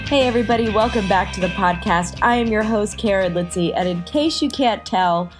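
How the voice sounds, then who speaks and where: A woman's voice: mid-range voice, brisk, over music. A woman hosting a podcast, stuffed up with a cold, chatty and upbeat as she introduces the episode. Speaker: podcast host. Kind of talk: casual podcast intro and interview.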